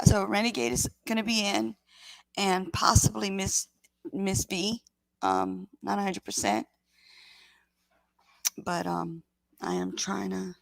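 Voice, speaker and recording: A woman hosting a casual livestream in a raspy voice, sick with a scratchy throat and talking in a rambling, halting way. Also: medium pitch, no echo. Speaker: livestream host.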